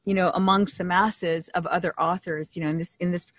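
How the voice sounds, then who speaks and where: A woman's voice: lowish voice, fairly even intonation, brisk, dry recording. A middle-aged woman co-hosting a podcast, explaining things in a casual, conversational style into a shared desktop microphone. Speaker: podcast co-host. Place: outdoors in a leafy garden. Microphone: desktop condenser mic shared between two.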